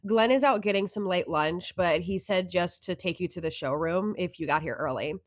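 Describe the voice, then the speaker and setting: A woman acts out a laid-back young receptionist for an audio drama. Her pitch sits on the low side, and she talks casually and noncommittally, as if little matters to her. The sound is dry. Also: normal speed, dry recording, close to an everyday voice. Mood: casual, polite.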